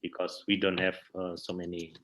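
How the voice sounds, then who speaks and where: A man's voice: low-pitched, monotone, moderate pace, a bit bright. A man from Indonesia with an Indonesian accent, a native Indonesian speaker, answering interview questions hesitantly as he searches for words in English. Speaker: theater practitioner interview guest.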